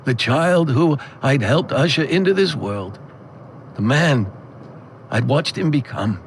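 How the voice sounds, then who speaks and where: Slow, expressive male drama narrator. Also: medium pitch, a little hiss or hum. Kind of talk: scripted audio drama narration in first person.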